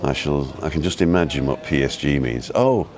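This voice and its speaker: A man with an easygoing, chatty and halting way of talking, casually rambling as he hosts a live vlog. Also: very deep voice, darkish tone. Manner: easygoing, chatty, halting as he reads and answers viewer comments. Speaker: live-streaming vlogger and host. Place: outdoors at a restaurant overlooking the road and ocean.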